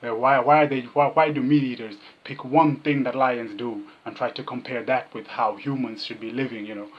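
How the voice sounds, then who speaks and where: A man's voice: mid-range voice, steady tone, normal speed. Man from Kenya, dull muffled recording. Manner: jokey, repetitive, mimics opponents' remarks. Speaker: vegan activist, interview guest. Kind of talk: casual interview chat.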